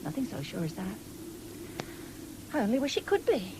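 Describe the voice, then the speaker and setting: A woman's voice: medium pitch, big pitch swings, moderate pace, a little mellow, lightly acted. An actress playing a proud, firm, plain-spoken English farm wife and mother in a radio drama. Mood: bitter, impassioned. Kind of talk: 1970s British radio drama acting. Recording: noisy old radio broadcast recording.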